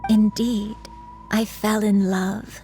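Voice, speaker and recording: A gentle old grandmother from a fantasy game, once a crane, speaks very slowly and earnestly over music. Her mid-pitched voice carries tenderness and regret as she tells her tale. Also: flat delivery. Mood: wistful, confessing. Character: gentle, remorseful grandmother, a crane turned human. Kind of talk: game voice acting, storytelling. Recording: music under the voice.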